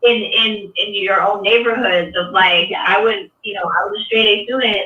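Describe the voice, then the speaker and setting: A woman's voice: medium pitch, fairly even intonation, moderate pace. A woman talking earnestly on an artist panel, thinking aloud with many restarts. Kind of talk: casual panel discussion. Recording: dull, echoey sound from a distance.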